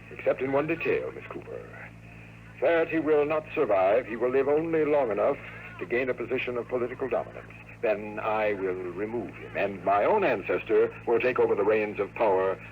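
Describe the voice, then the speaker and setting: Man playing a coolly formal radio villain, animated delivery. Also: lowish voice, moderate pace, narrow, tinny audio, natural voice. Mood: gloating, cold. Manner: formal, precise, menacingly polite. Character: scheming, power-hungry male time-traveler villain, coolly formal. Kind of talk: 1950s radio drama acting. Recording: noisy old radio drama recording.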